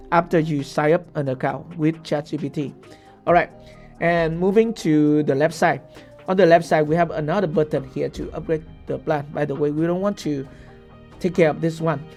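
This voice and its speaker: A young man whose first language is Vietnamese talks through a software tutorial step by step, often pausing to ask "okay?". His voice sits a little high with a gravelly fry. Faint music plays quietly beneath him in a small room. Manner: explains step by step with frequent "okay?". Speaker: tutorial host. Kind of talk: screen-share software tutorial.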